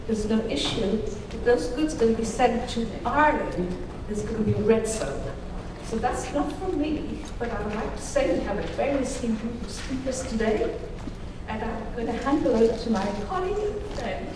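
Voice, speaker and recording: A woman moderating a conference panel, briskly briefing the audience before handing over to a speaker. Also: fairly high pitch. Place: large conference hall. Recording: echoey hall, distant mic, noisy.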